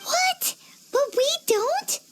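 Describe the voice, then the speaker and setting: A woman voicing a tiny, chirpy, excitable fairy companion in a video game, squeaky and childlike. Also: drawn-out words, background noise, full character voice. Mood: eager. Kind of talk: English video game dub acting.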